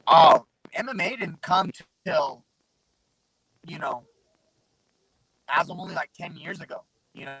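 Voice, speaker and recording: A man's voice: on the high side, a little slow, pauses often, a bit bright, a little hiss or hum, rough recording. A man from Southern Arizona, a guest fighter, chatting casually and rambling with lots of fillers over a remote mic that pops. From Southern Arizona, USA. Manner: laid-back, rambling, full of fillers. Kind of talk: casual interview chat. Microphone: remote call mic with popping.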